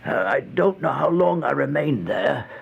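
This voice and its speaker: An actor playing an exhausted, starving homeless man who recounts a horror tale in a halting, breathy, theatrical old-time radio style, often dropping toward a whisper. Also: fairly high pitch, very animated, lo-fi sound, full character voice. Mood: exhausted, haunted. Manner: halting, slow, theatrical, anguished. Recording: noisy old radio recording.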